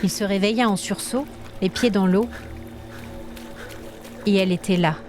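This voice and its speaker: A woman storyteller reading scripted dramatic narration in an expressive, suspenseful manner. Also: mid-range voice, normal speed, music in the background.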